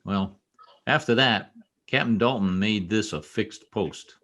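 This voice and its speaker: A man in an old-time radio play, acting a protective, brusque young state trooper with a gruff, commanding manner. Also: on the low side, measured pace, a bit bright. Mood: brusque, guarded. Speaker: amateur radio play actor. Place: home, over a video call.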